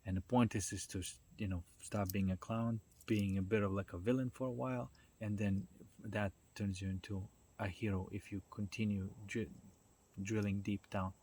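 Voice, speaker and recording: Guy with a lowish husky voice doing an off the cuff self-help talk, reflective, lots of pauses. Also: level tone, a little mellow. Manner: halting, reflective, thinking aloud with trailing pauses. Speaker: spiritual self-development speaker. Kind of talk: casual unscripted philosophical talk.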